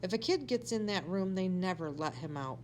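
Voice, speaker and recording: A woman's voice: lowish voice, animated, fairly quick, a little mellow, slight background noise, close to an everyday voice. A woman voicing a bossy, scheming schoolgirl in a storybook, telling tall tales in an insistent, conspiratorial way. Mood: dark, menacing.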